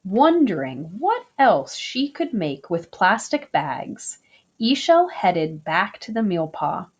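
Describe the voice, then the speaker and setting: Woman, storybook read-aloud, gentle and expressive, slightly dull lo-fi sound. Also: medium pitch, slow, breathy edge. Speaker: storybook reader. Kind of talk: children's picture book read-aloud.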